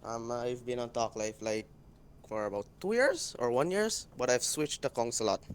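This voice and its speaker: A man's voice: mid-range voice, expressive, moderate pace. A man from Guyana, chatting casually as a guest on an online voice call. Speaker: guest caller in an online community chat. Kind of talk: casual chat. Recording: faint noise, online voice call.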